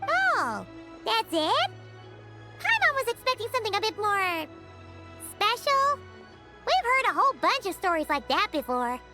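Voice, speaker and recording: Tiny fairy sidekick, extremely high squeaky voice, swooping, excitable, exclamatory, game character. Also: female voice, measured pace, pauses often, music in the background, heavily acted. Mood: underwhelmed. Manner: squeaky, exclamatory, speaks in third person. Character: tiny excitable childlike female fairy companion. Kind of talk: video game character acting.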